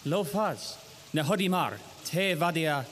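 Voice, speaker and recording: Sleazy flashy little cop, raised voice, gutter slang mishmash, hall echo, some music. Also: male voice, on the high side, unhurried, fairly bright tone, a little hiss or hum. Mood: curt, sneering. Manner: speaks mishmash street slang of several languages. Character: greasy little flashy cop in his thirties. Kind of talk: 1940s noir radio acting. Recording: hall reverb, music behind.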